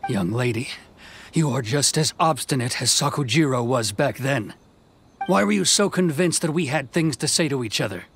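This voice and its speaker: A man playing a proud, stern male swordsman commander in a video game, curt, cold and scornful, with a gravelly voice. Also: mid-range voice, animated, normal speed, fairly bright tone, slight background noise. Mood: cold, questioning. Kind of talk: video game character acting.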